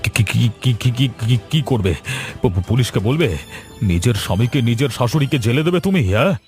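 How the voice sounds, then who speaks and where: A man's voice: medium pitch, expressive, normal speed, a little mellow, close to an everyday voice. A man voicing a guilty, blustering village husband in a cartoon melodrama, agitated and prone to stammering. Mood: panicked. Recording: faint music under the voice.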